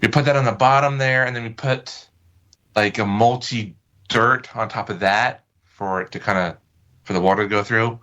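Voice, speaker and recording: A man's voice: lowish voice, fairly even intonation, moderate pace, bright, forceful tone, dull audio. A man speaking as an interview guest, informal and hesitant as he feels for his words. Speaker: podcast guest. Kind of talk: casual interview chat.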